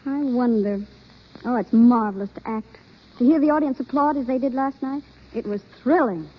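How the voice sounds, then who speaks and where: An actress playing an excitable, uncertain young stage newcomer in a 1930s radio drama, earnest and hesitant. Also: female voice, on the high side, moderate pace, close to an everyday voice. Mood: wistful. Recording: dull, lo-fi old radio broadcast.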